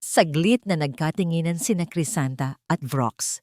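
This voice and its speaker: A woman's voice: sits low, unhurried, darkish tone, no echo, studio quality. A woman narrating a scripted dramatic story as an audio drama narrator.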